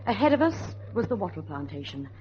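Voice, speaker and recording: Muffled radio drama recording, actress playing young woman storyteller, earnest, suspenseful, lively intonation, faint noise. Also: mid-range voice, a little fast, close to an everyday voice. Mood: grave, suspenseful. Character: anxious, determined young woman, storyteller niece. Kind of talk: dramatic first-person narration and radio drama acting.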